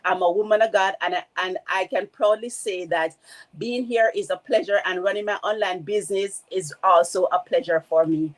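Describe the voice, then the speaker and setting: A woman with a Jamaican accent introducing herself warmly and proudly in a live video chat. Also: medium pitch, normal speed. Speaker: guest.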